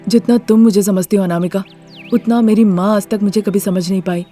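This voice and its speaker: An affectionate young husband from a moral story, voiced by a woman at a medium pitch. He is earnest and pleading, with faint music behind. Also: steady tone, brisk, mellow, natural voice. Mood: earnest, protesting. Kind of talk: Hindi moral story character voice.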